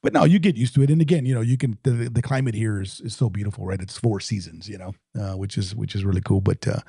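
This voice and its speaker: A man's voice: fairly low pitch, quick pace, gravelly, warm tone, breathy edge, no echo, clean studio sound. A man from South Texas hosting a casual podcast, upbeat and rambling, full of fillers. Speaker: podcast host.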